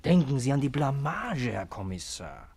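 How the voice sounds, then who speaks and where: An actor playing a sly, needling police sergeant with mock sympathy in a 1950s satirical radio drama. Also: male voice, mid-range voice, sing-song, moderate pace, a little mellow, breathy edge. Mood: teasing.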